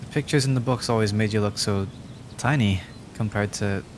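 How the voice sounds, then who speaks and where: A man's voice: lowish voice, moderate pace, background noise, natural voice. Man playing a nervous, stammering young dragon. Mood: shy, curious. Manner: stammering, hesitant, rambling. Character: timid, injured young male dragon, nervous and adorkable. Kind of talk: roleplay ASMR audio drama.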